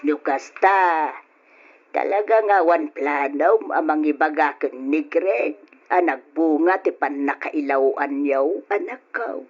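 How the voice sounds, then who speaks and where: A woman playing a caring, devout older mother in a radio drama, with a husky voice and an Ilocano accent, speaking with emotion. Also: low voice, animated, slow pace, soft background music, exaggerated voice. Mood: sorrowful, tender. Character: caring, devout older mother comforting her grown daughter. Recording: dull old radio drama recording.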